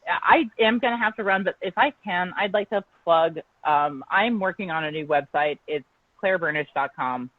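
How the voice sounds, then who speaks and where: A woman's voice: fairly low pitch, moderate pace, no echo, narrow, tinny audio. A woman journalist guest on an online show, outspoken and emphatic.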